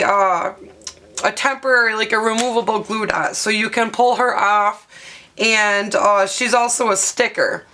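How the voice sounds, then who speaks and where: Brief: hoarse woman craft vlogger, bright and a bit high, chatty show-and-tell with lots of fillers. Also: slight background noise. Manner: chatty and explanatory, with frequent ums and uhs. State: hoarse, losing her voice.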